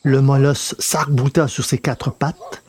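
A man narrating a scripted dramatic story in a measured, literary delivery. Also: medium pitch, little pitch movement. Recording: noticeable background noise.

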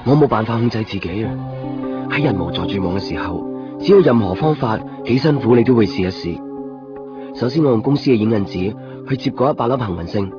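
A man's voice: on the low side, animated, a little fast, natural voice. A man with a Hong Kong accent playing a stubborn, devoted, desperate young man in a radio drama. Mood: resigned, determined. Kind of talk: Cantonese radio drama acting with inner monologue. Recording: muffled 1990s radio recording, music behind. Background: music.

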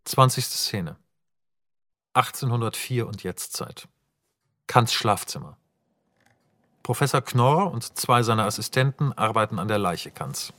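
Calm male radio play narrator. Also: on the low side, measured pace, long pauses, dry recording, clean studio sound. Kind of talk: scripted narration of stage directions.

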